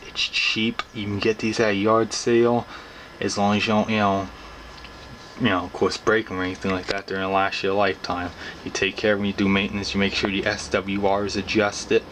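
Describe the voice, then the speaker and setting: A young man with a low, quite flat voice explains things casually to camera. He rambles along in an informal, folksy way. Clear ambient noise sits behind his voice throughout. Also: normal speed. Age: young adult. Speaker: hobbyist vlogger. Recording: clearly noisy background ambience.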